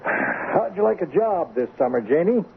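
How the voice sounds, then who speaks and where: A man's voice: sits high, very animated, normal speed, creaky, full character voice. An actor playing a good-humored middle-aged sheriff and father in a radio drama, jovial and folksy. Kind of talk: 1970s radio drama acting. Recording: muffled lo-fi radio recording with hiss.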